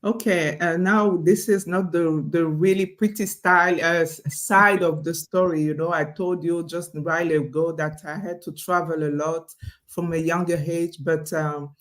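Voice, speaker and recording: A woman's voice: fairly low pitch, steady tone, normal speed. Woman, from Congo, interview guest, chatty, fillers, video call. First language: French. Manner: chatty, laughs often, many fillers and restarts. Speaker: guest, online business owner. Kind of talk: casual interview talk.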